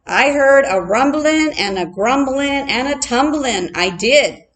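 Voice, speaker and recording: A woman voicing an excitable female prairie chicken in a storybook, urgent, folksy and sing-song as she sounds the alarm. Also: high-pitched, slow, slight background noise, pitched above the performer's own voice.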